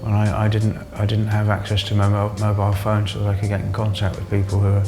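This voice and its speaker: A man giving unscripted interview testimony, halting and repeating words as he goes. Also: on the low side, monotone, music in the background. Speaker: interviewee, former detained patient.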